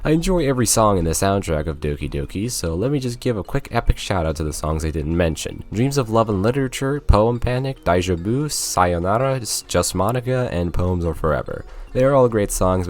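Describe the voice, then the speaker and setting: Low-voiced young man, lively intonation. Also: fairly quick, few pauses. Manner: informal, conversational, slangy. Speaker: video game reviewer. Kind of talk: casual scripted video essay narration. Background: music.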